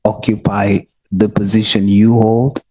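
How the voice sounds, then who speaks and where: A man's voice: lowish voice, level tone, warm tone, breathy edge, poor audio. Insistent man questioning. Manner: insistent, repeating questions, slow and pointed. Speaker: parliamentary committee member. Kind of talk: sharp questioning in a committee hearing.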